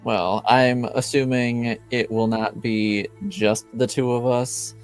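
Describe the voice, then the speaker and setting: A wary, reserved holy knight acted by a man at a roleplaying table speaks very slowly and with dry understatement. His voice is clean and resonant, with faint music behind it. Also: medium pitch, clean tone, close to an everyday voice. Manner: measured, understated, deadpan. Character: reserved, wary, dryly deadpan male Templar. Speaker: game master. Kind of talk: improvised in-character tabletop roleplay.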